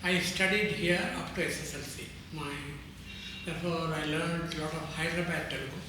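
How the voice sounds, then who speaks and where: An elderly Indian man in his eighties, with a slightly raised pitch, recalls his life in a halting way, often breaking off and starting again. He is recorded from a distance in a very echoey room. A clear background hiss runs underneath. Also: fairly even intonation. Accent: Indian English. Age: 80s. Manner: halting, with frequent restarts and pauses. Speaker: interviewee, translator. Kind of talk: recorded interview, reminiscing. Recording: echoey room, far from mic, noisy.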